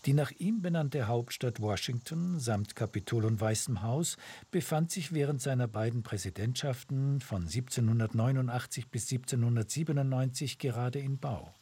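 A man reading scripted documentary narration in an even, measured way. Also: mid-range voice, slightly rough.

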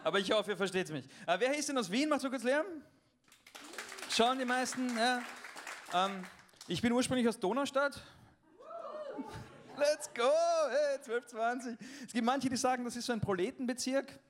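A man's voice: extremely high pitch, normal speed, pauses often, slight background noise. A young man from Vienna with a Viennese accent doing a joking stand-up set, talking to the crowd on a club stage. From Vienna, Austria. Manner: loose, joking, talks to the crowd. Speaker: stand-up comedian.

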